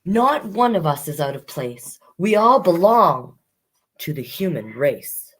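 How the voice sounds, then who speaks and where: A woman's voice: lowish voice, sing-song, slow pace, husky. A woman hosting a children's storytime, reading picture books expressively with chatty asides to young listeners.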